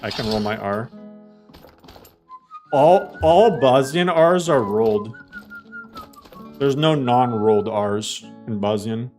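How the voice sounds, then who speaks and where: A man's voice: medium pitch, slow, long pauses, darkish tone, soft background music. A man live-streaming a game, chatting loosely with viewers and walking them through steps, with lots of "like" fillers. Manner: explains things step by step to viewers. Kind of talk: casual live-stream chat while playing.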